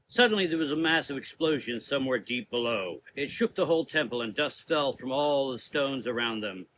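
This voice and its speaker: Bright, forceful male storyteller. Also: medium pitch, animated, brisk, slight background noise, rough recording. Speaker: narrator. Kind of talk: scripted dramatic story narration.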